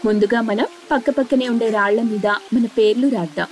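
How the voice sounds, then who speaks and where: A woman's voice: a little high, steady tone, fairly quick, close to an everyday voice. A woman voicing a sensible, affectionate young elder sister in an earnest, plain-spoken way for a cartoon story.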